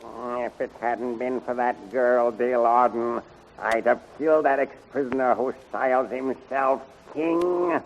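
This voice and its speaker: An actor playing a resentful, scheming general in a 1930s radio adventure serial. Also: male voice, medium pitch, steady tone, measured pace, natural voice. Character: resentful, scheming male general, villainous and brooding. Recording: muffled, hissy 1930s radio recording.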